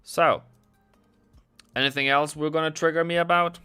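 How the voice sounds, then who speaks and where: A man's voice: on the high side, steady tone, moderate pace, bright, forceful tone, clean tone, faint music behind. A man hosting a casual trading livestream, chatting and joking sarcastically with viewers.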